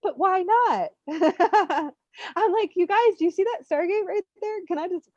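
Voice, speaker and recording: A woman giving an unscripted spiritual reading, talking in an animated, informal, rambling way as she coaches. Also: extremely high pitch, measured pace. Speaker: spiritual reader hosting a live readings session. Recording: muffled, roomy, lo-fi online stream.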